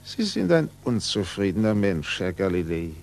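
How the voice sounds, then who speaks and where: A man's voice: on the low side, big pitch swings, normal speed, gravelly, darkish tone. A man playing a condescending university official, formal and patronising, in a 1940s German radio play. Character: smooth-talking, condescending male university official.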